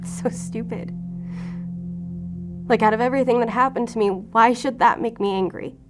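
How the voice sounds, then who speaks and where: A woman's voice: a little high, normal speed, over music, lightly acted. An actress playing a wounded, guarded female FBI agent who talks with sardonic defiance in an audio drama. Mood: bitter. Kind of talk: audio drama acting, confessional.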